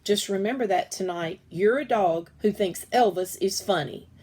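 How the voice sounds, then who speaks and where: A woman's voice: medium pitch, moderate pace, darkish tone, slightly rough, close to an everyday voice. A woman voicing an eager, insistent, imaginative young girl in a children's story.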